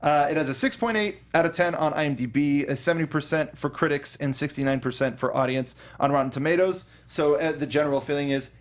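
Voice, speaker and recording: A man's voice: fairly high pitch, quick pace, hardly any pauses. A young man co-hosting a casual movie review chat, rambling with many restarts and fillers. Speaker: podcast co-host.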